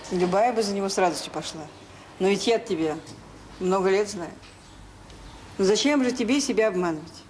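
An actress plays a knowing middle-aged orphanage worker in a radio drama. She speaks at a medium pitch, frankly and with measured, gentle irony, over a clearly noisy old recording. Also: lightly acted. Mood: frank, sad. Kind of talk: Soviet radio drama acting.

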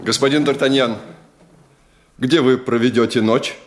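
A man's voice: mid-range voice, fairly even intonation, a little slow, faint music behind, close to an everyday voice. An actor in a radio play playing a brooding king who gives cold orders in a clipped, commanding way.

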